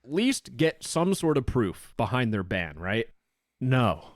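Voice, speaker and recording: A man's voice: mid-range voice, very animated, slow pace, no room sound, clean studio sound. A man delivering scripted opinion commentary in an emphatic, indignant and blunt manner. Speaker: video commentator.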